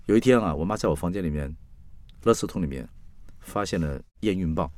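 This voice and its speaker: A man's voice: low-pitched, lively intonation, a little fast, a little mellow, no echo, clean studio sound. A man voicing a brazen, flirty, rebellious woman of forty in dramatised storytelling, teasing and blunt. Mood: aggrieved.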